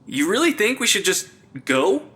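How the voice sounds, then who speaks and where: A man's voice: high-pitched, very animated, normal speed, sharp tone, exaggerated voice. A young man playing a wry, nerdy, jittery investigator in a supernatural comedy audio drama, with dry, quippy banter. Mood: dry, joking. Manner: dry, quippy, slightly pompous.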